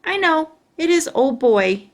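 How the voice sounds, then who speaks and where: A woman's voice: high voice, big pitch swings, takes it slowly, pitched above the performer's own voice, full character voice. A woman voicing a chatty, boastful, stubborn young schoolgirl in a children's storybook, with emphatic childlike wording. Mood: excited, chatty. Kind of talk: children's storybook read-aloud.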